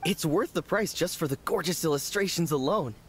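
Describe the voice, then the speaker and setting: A man's voice: fairly high pitch, expressive, brisk, fairly bright tone, slight background noise. A man playing a devoted, proud young book collector in a video game, talking with enthusiasm.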